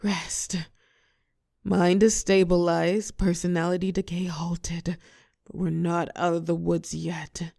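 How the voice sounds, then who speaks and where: A woman playing a telepathic villain and former hero in a roleplay audio drama, protective and sharp-tongued, with a breathy voice that often drops to a whisper. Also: fairly low pitch, little pitch movement, no echo, studio quality. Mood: urgent, steadying. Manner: slow, commanding yet tender coaching.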